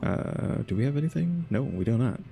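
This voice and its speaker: A man's voice: medium pitch, sing-song, a little fast, creaky, dark tone, a little hiss or hum. A man commentating casually on a video game he is playing, grumbling at its story. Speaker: gaming video host.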